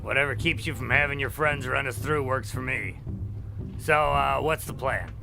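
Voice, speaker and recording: An actor playing a sarcastic, irreverent adventurer in an audio drama, with a dry and casual, wisecracking manner. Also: male voice, medium pitch, moderate pace, gravelly, over music, lightly acted. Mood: wry. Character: sarcastic, irreverent male adventurer living in the moment.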